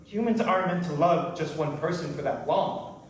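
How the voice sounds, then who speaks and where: A man's voice: on the high side, moderate pace, lightly acted. An actor playing an aloof, cynical, mysterious man on a date, terse and matter-of-fact, in a staged play reading. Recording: distant mic in roomy echo, hissy noise.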